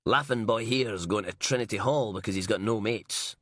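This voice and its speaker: A man's voice: fairly low pitch, moderate pace, fairly bright tone, dry recording, studio quality. A man reading an audiobook and voicing a crude, sarcastic female police inspector. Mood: mocking.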